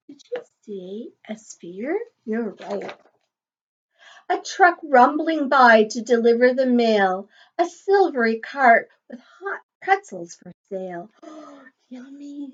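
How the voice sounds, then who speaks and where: A woman's voice: high-pitched, slight background noise. A woman teacher reading a picture book aloud to young children, encouraging them with questions and sing-song asides, on a slightly dull recording.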